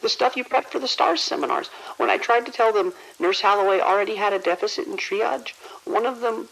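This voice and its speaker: An actor playing a frightened, timid male hospital pharmacy worker in an audio drama, halting, stammering and hushed. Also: medium pitch, creaky, rough recording. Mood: panicked.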